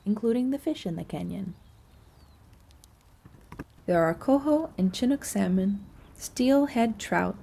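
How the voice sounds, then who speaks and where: A woman reading scripted tour narration in a measured, informative manner. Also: mid-range voice, mellow, husky. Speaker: student narrator of an audio nature tour.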